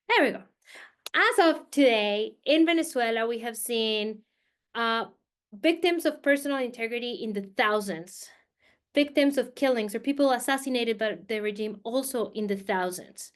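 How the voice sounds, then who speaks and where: High-voiced woman from Colombia lecturing. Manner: conversational, with fillers and emphatic repetition. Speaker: guest speaker, political scientist. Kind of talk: academic presentation to teachers. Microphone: video call.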